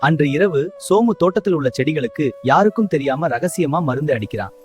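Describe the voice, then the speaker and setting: Expressive man narrating, fairly high voice. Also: brisk, faint music behind. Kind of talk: scripted moral story narration.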